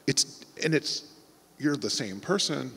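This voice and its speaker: A man's voice: medium pitch, animated, takes it slowly, vocal fry, fairly bright tone, breathy edge. American man talking through restarts in a hall. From Texas, United States. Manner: thoughtful, rambling, with frequent restarts. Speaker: author on a festival panel. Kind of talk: conversational panel talk. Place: large festival venue before an audience. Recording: long hall reverb.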